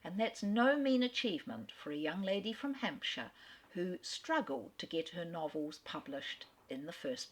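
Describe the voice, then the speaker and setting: A woman with an Australian accent giving a literary talk and reading a novel aloud with measured, expressive storytelling. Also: on the low side. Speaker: literary speaker. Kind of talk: lecture ending in a dramatic reading.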